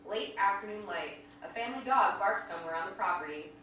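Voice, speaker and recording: Bright-voiced woman narrating in echoey hall. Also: mid-range voice, expressive, brisk. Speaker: stage directions reader. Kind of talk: scripted narration at staged reading. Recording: very echoey hall, distant mic, faint noise.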